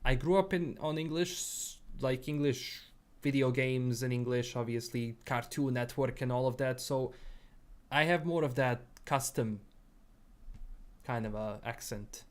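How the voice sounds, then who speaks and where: A young man with a Bosnian accent talks loosely on a live stream, his thoughts wandering with frequent fillers and restarts. His pitch sits in an ordinary middle range. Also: unhurried. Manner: rambling, many fillers and restarts. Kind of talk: casual livestream chat, reading chat.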